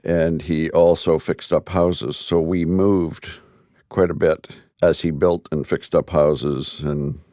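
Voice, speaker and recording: A man in his sixties with a low, dark voice talks easily as a podcast guest, dropping in laughs between his stories. The sound is close and dry. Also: fairly even intonation, slow pace, no echo. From Canada. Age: 60s. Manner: easygoing storyteller, laughs between remarks. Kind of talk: casual interview chat.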